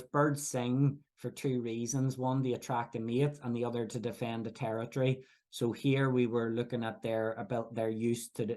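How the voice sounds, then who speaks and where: A man from Belfast with a Belfast accent, presenting research at a workshop in a halting, explanatory way with many restarts. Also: medium pitch, darkish tone. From Northern Ireland. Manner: hesitant, frequent fillers and restarts, explanatory. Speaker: researcher giving a workshop talk. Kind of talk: academic presentation.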